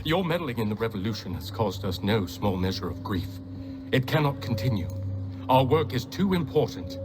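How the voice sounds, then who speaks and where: Casting: actor as haughty, contemptuous male conspirator, ornate delivery with very lively intonation, game cutscene, music behind. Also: medium pitch, normal speed, lightly acted. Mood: smug. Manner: pompous, ornate, disdainful. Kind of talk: dramatic game cutscene acting.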